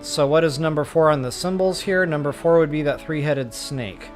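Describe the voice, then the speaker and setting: A man's voice: fairly high pitch, normal speed. A man giving a casual, folksy gameplay walkthrough, explaining things informally and thinking aloud as he plays. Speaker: game walkthrough host. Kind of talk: casual gameplay commentary, explaining as they play. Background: game music behind the voice.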